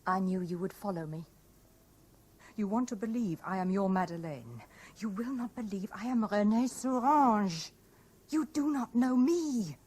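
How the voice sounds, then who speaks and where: A woman's voice: medium pitch, lively intonation, unhurried, darkish tone, slightly rough. An actress in a 1970s radio drama playing a weary, distressed young woman, plaintive and restrained. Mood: sad, resigned. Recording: noisy vintage radio broadcast recording.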